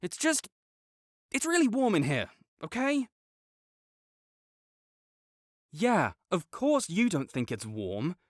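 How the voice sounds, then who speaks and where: A man's voice: high-pitched, huge pitch swings, measured pace, no room sound, clean studio sound, exaggerated voice. A young man voicing a competitive male student rival who teases and bosses, then turns flustered and defensive. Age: young adult. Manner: teasing and bossy, gets flustered and defensive. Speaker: voice actor in an audio roleplay. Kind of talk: audio roleplay acting.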